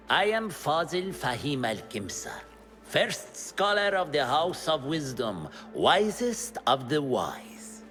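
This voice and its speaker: An actor playing a grandiose old scholar in a video game, lecturing in a pompous, theatrical, oratorical way. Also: male voice, a little high, fairly bright tone, heavily acted. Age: older. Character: grandiose old male scholar, self-declared wisest of the wise.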